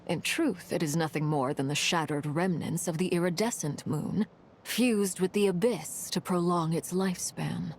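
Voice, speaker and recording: Woman playing a low, composed fire-wielding commander. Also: slight background noise, close to an everyday voice. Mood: solemn, reflective. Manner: measured, formal, solemn. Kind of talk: dramatic video game voice acting.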